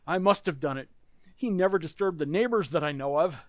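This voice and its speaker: A man playing a haggard, anxious husband in an amateur radio play, speaking in a halting, troubled way. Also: fairly high pitch, no echo, close to an everyday voice. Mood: anguished, guilty.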